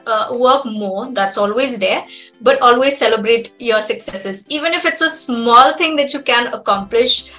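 A woman's voice: on the high side, pushed and bright. A young woman from Shillong with an Indian accent, coaching English in an encouraging, conversational way over a headset on a video call. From Shillong, Meghalaya, India. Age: young adult. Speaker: English trainer.

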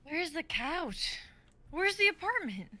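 Bright, slow-talking stoned young woman, acted. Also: very high voice, big pitch swings, heavily acted. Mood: confused, blunt. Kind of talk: amateur dramatic script reading over video call.

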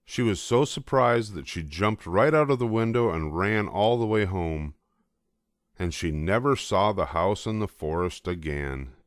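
A man's voice: low-pitched, expressive, measured pace, no room sound, clean studio sound. An older man reading a children's storybook aloud as scripted narration. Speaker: storybook reader.

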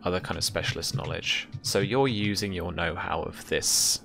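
A young man casually narrating as game master and explaining rules, in a hesitant, halting way, on a video call. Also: low voice, steady tone, vocal fry, a bit bright, faint music behind. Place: indoor room at home, over video call. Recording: faint background noise.

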